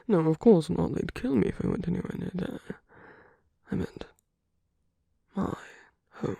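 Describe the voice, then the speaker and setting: Woman acting a breathy, husky flirtatious villain, half-whispering. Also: on the low side, big pitch swings, slow pace, long pauses, darkish tone, no room sound, studio quality, full character voice. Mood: teasing, tender. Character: flirtatious villain, teasing and tender toward an enemy. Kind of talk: intimate ASMR roleplay acting.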